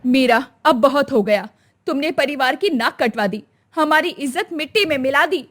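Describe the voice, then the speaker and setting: A woman's voice: sits high, normal speed, a bit bright. A woman voicing a traditional older mother-in-law, stern and scolding but tender underneath.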